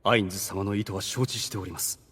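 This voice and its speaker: A man's voice: sits low, big-room sound. A man playing a suave, devoted demon strategist who speaks with polite relish, in a Japanese anime audio drama. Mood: reverent. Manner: polite, smooth-talking, deliberate flattery.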